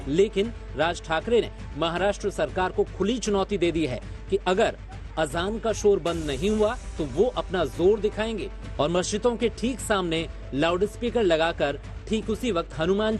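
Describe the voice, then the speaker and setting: A man's voice: high voice, animated, normal speed. A man reading a scripted television news report as its narrator. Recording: music under the voice.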